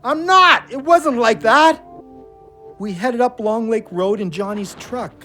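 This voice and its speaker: A hot-tempered ex-convict in an audio drama, resentful and on the defensive. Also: male voice, extremely high pitch, slow pace, sharp tone, soft background music, heavily acted.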